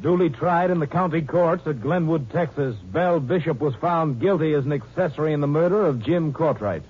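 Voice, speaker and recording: A man's voice: on the high side, a little fast, natural voice. A man announcing formally and solemnly in 1950s radio style. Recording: muffled 1950s radio recording, music behind.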